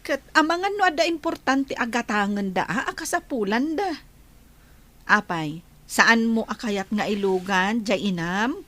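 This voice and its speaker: A woman's voice: fairly high pitch, animated, measured pace, a bit bright. A woman with a Filipino Ilocano accent playing a practical, insistent wife and mother in a radio drama. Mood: insistent, questioning.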